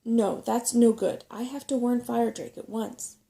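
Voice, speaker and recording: A woman reads out a small-tempered storybook brownie, female, crabby and quick to scorn. The voice is soft-edged and mellow with a creaky grain, full of complaint. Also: a little high, steady tone. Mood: irritated, urgent. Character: grumpy, sharp-tongued female brownie creature. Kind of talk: storybook character voice in a soft-spoken reading.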